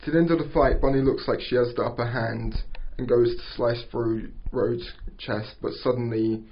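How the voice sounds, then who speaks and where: A man narrating stage directions at an amateur script table read, halting and stumbling over words. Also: medium pitch, steady tone. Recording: dull lo-fi recording.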